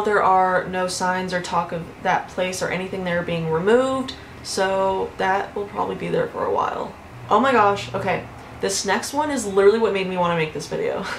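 A woman in her twenties telling stories to camera in a casual vlog, chatty and animated, with frequent swearing and laughter, recorded in her bedroom. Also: mid-range voice, reverberant, a little hiss or hum, rough recording. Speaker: YouTuber. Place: bedroom with fairy lights.

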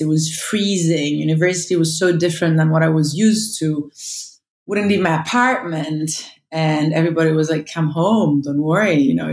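A low-pitched woman with an Italian accent speaks reflectively, often restarting her sentences. She sounds like she is on a video call with earbuds in a roomy home room. She is in her thirties. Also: darkish tone. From northern Italy. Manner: reflective, many restarts and fillers. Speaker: guest. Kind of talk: casual interview chat.

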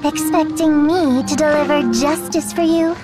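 Sly young cat-woman for anime game female actor: high, coolly teasing, theatrical, loud music underneath. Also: steady tone, normal speed, close to an everyday voice. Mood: cool, disdainful.

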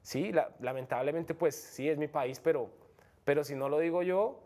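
A man's voice: fairly high pitch, normal speed, darkish tone. A young Colombian man with a Bogotá accent talks earnestly and frankly, his slightly raised voice stumbling over restarts and fillers. He sits as a guest on a studio set, picked up by a lapel mic. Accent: Bogotá Colombian Spanish. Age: young adult. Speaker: MMA fighter guest. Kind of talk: casual interview talk.

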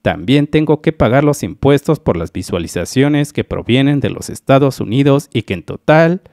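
Lively methodical man from Mexico. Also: mid-range voice, normal speed, vocal fry, no room sound, clean studio sound. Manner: methodical, addresses viewers as dear friends. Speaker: YouTube channel analyst. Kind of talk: screen-recorded explainer voice-over.

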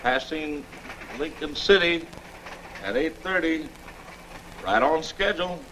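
Businesslike train conductor, higher voice. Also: male voice, slow, bright, forceful tone, slight background noise, rough recording. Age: middle-aged. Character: businesslike male passenger-train conductor reporting in. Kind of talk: 1940s film serial acting.

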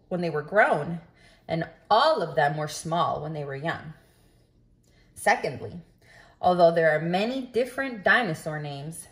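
A woman's voice: lowish voice, fairly bright tone. Woman reading a children's book aloud. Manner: instructive, addressing young listeners with questions. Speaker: read-aloud storyteller.

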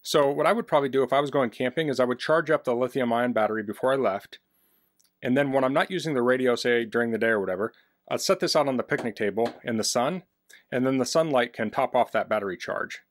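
A man talks through a hands-on product demonstration with a mid-pitched voice. He is plain-spoken and practical, explaining things casually, and the indoor recording is fairly dry. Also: quick pace, dry recording. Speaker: product reviewer. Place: basement, indoors.

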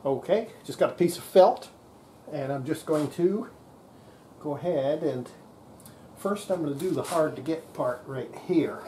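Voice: mid-range voice, measured pace, warm tone. An older radio repairer giving casual, folksy hands-on commentary while working at a bench in an indoor workshop. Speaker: radio repairer presenting a restoration. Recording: noisy workshop camera audio with clicks.

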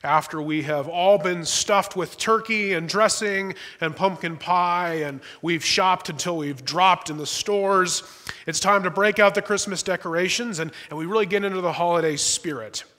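A young male pastor gives a sermon from the front of a large church sanctuary, with a fairly high voice. He speaks in a conversational way, adds light humor and often restarts small phrases. The room carries a long, ringing church reverb. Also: normal speed, bright, forceful tone.

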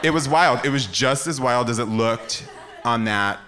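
An American man, a guest on a live podcast, telling casual comic stories in an animated, slangy way. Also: medium pitch, measured pace. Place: theater stage before live audience. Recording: noisy live stage recording, clicks.

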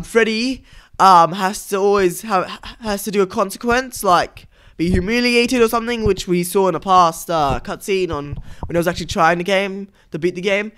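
A man hosting a gaming video, giving casual, halting commentary over cutscenes. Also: sits high, a bit bright, clear, resonant tone.

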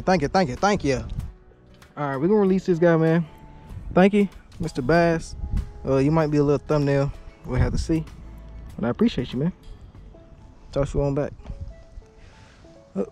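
A man's voice: fairly high pitch, a little mellow. A young man talking casually and in slang as he narrates his own fishing vlog. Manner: slangy, informal. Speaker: fishing vlogger. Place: outdoors in a small boat on a lake. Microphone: body-worn action camera.

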